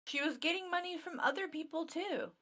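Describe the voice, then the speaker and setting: A woman's voice: very high-pitched, fairly quick, sharp tone. A woman chatting casually as a panelist on a livestream, commenting on what is discussed.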